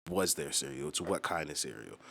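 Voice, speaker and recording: Deep-voiced young man, casual and sweary. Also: animated, a little fast, gravelly, bright, forceful tone. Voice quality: low-pitched. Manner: informal, heavily profane, slangy, emphatic. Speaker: musician explaining own lyrics on own channel. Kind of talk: casual explanatory chat.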